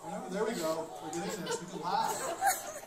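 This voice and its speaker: A young man doing a stand-up comedy set on a small stage with a handheld mic, telling dark jokes bluntly and chatting with the crowd between jokes. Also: high voice, huge pitch swings, moderate pace. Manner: dark, blunt humor with conversational asides. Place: large wood-panelled hall with a small stage. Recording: very echoey hall from a distant camera.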